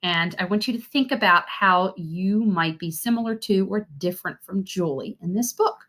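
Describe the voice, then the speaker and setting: A woman reading a children's story aloud with deliberate, animated, expressive narration. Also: medium pitch. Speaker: read-aloud reader.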